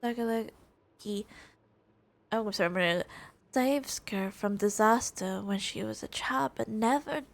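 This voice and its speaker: Halting, creaky-voiced woman streamer. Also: medium pitch. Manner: halting, thinking aloud. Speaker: gaming streamer. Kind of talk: casual gameplay reaction commentary.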